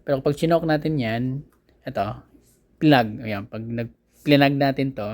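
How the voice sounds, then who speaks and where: A man with a Filipino accent giving a casual product review in a conversational, halting way, often searching for his words. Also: mid-range voice, darkish tone. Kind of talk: casual unboxing and review talk.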